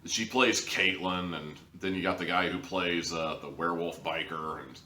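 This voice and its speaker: Young man, deep bright voice, casual rambling reviewer, informal delivery. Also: steady tone, normal speed. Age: young adult. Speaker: reviewer, show host. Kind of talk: casual review monologue to camera. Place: small living room, indoors. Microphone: camera a few feet away. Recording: roomy echo, distant camera mic.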